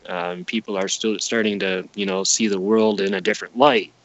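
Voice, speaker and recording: Male podcast guest, gravelly fry, earnest and wandering explainer, casual interview delivery. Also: on the low side, huge pitch swings, moderate pace, dry recording, dull audio.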